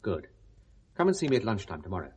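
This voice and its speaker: Genteel pious British gentleman, concerned, husky, very animated intonation, 1970s radio drama. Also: fairly low pitch, quick pace, full character voice. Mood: earnest, advising.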